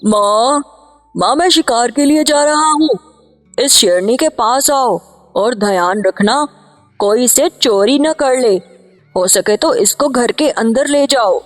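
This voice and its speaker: A woman plays an eager, naive young hunter from a cartoon tale, an earnest boyish son. The voice is high and unhurried, in long reverb with music behind.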